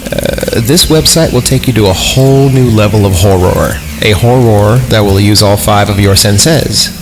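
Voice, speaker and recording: Male horror podcast reader, lowish pitch, irreverent and sweary, ad-libs jokes between passages, music behind. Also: moderate pace. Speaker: podcast host reading horror stories. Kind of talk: story reading with joking ad-libbed commentary.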